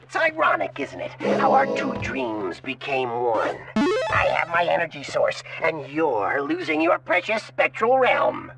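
A man's voice: fairly high pitch, huge pitch swings, unhurried, vocal fry, exaggerated voice. A man playing a taunting, power-mad scientist villain in theatrical video game style. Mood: gloating. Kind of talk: video game cutscene acting. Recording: loud music behind, distant-sounding voice.

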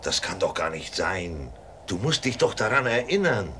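An actor playing a weary, earnest and protective blind traveller in a German audio drama. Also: male voice, deep voice, sing-song, normal speed, bright, forceful tone, a little hiss or hum, heavily acted. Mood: probing, insistent.

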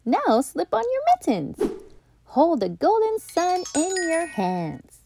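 A woman reading a children's picture book aloud as a storytime host, playful and deliberate, with dramatic emphasis. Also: extremely high pitch, wildly swooping pitch, gravelly, warm tone, a little hiss or hum. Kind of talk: expressive children's read-aloud narration.

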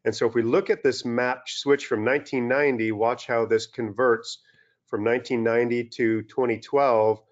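A middle-aged man from Western Canada giving a relaxed webinar lecture over slides from a small room, with many fillers and restarts. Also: mid-range voice, normal speed. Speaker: turfgrass scientist presenting a webinar. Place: small indoor room, video call. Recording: dull lo-fi video-call audio.

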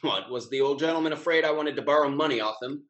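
A man's voice: on the high side, fast, a bit bright, dull audio, natural voice. A young male actor playing a breezy, irreverent rake of a younger man in a jaunty period stage comedy. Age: young adult. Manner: jaunty, mocking period speech. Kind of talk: Restoration-style stage comedy over video call. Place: home room with bookshelves, video call.

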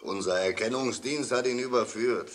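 Police sergeant, male actor: middle-pitched, avuncular, good-humoured official in a vintage radio play. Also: moderate pace, close to an everyday voice. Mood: jovial, official. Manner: chuckling, avuncular, official announcements. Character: jovial, kindly adult police sergeant. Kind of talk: 1960s audio drama acting.

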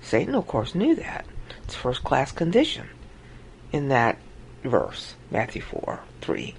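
A woman giving an informal Bible teaching monologue over a screen recording, acting out quoted lines with big jumps in pitch. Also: wildly swooping pitch, takes it slowly, vocal fry, a little mellow, slight background noise, poor audio.

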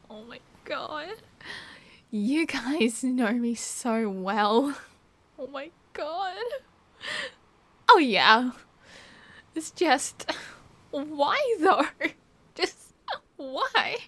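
A woman's voice: high-pitched, a bit bright, dry recording, full character voice. A young woman with an Australian accent playing a cheerful, teasing partygoer in a casual ASMR roleplay, chatting in playful slang. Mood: delighted, teasing. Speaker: roleplay voice actor. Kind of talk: casual ASMR roleplay, one side of a chat.

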